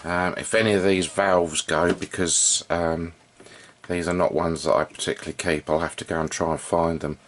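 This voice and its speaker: A man giving an unscripted, informal hands-on demonstration, thinking aloud in a halting way as he works as a hobbyist repairer. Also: deep voice, little pitch movement, a little hiss or hum. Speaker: vintage radio repair hobbyist and video presenter.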